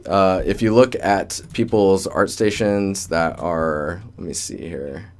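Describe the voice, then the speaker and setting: The low-pitched young guy you'd hear late on an art livestream, joking with chat and rambling through feedback. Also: takes it slowly. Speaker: livestream host, digital artist. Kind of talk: casual livestream chat and portfolio critique. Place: indoor room with door closed.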